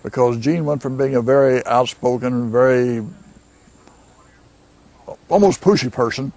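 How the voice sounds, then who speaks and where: A man giving an unscripted recollection as a documentary interviewee, in a mid-pitched voice. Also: unhurried, gravelly, darkish tone.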